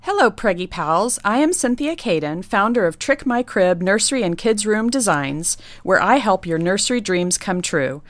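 A woman who designs nurseries, reading a prepared segment of tips in a clear, instructive way. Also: mid-range voice, big pitch swings, normal speed, few pauses, no echo. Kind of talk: scripted segment read.

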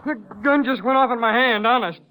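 A man's voice: very high-pitched, fairly quick, pushed and bright, slight background noise, low-quality recording. An actor playing a jumpy, menacing gunman in a 1950s radio western, with an agitated manner. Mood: pleading. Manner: threatening, agitated.

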